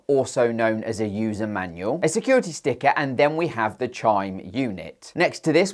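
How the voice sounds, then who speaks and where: A British man hosting a tech review, narrating from a script with playful, informal asides. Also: mid-range voice. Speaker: tech reviewer and channel host.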